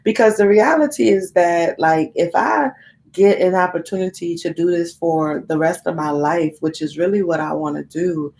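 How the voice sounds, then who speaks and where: A woman's voice: fairly low pitch, moderate pace, vocal fry, darkish tone, a little hiss or hum. A woman in her thirties with a congested cold, talking candidly and rambling to viewers on a live stream. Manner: rambling, candid, swears casually. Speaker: vlogger hosting a live stream.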